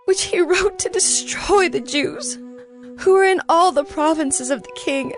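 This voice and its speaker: An actress playing an earnest, humble young queen who makes formal, imploring appeals in a scripture drama. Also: sits high. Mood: anguished pleading. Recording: music behind the voice.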